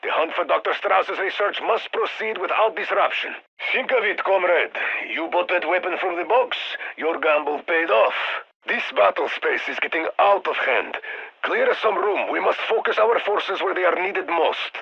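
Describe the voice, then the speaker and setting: An actor playing a stern, battle-hardened Russian military commander in a video game, giving terse, formal mission orders in a Russian accent through a radio filter, with a gravelly, husky voice. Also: male voice, high voice, expressive, normal speed, highs cut off, full character voice. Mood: grave, urgent. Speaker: mission handler.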